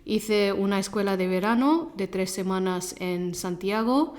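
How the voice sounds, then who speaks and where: A woman from England, a Gujarati speaker, answers interview questions in halting, self-correcting second-language speech. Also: medium pitch, darkish tone. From Leicester, England. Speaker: interview guest, Spanish teacher.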